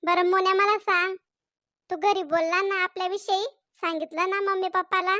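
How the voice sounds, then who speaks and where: A woman's voice: extremely high pitch, level tone, normal speed, pushed and bright, no echo, clean studio sound. A woman voicing a lovesick, emotional young village woman in a cartoon comedy, who pleads with and reproaches her sweetheart. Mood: hopeful.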